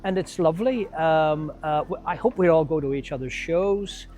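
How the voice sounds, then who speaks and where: A man with a Northern Irish accent speaking haltingly in an informal promotional interview about theatre. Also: fairly high pitch, darkish tone. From Northern Ireland. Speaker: theatre company member promoting a show.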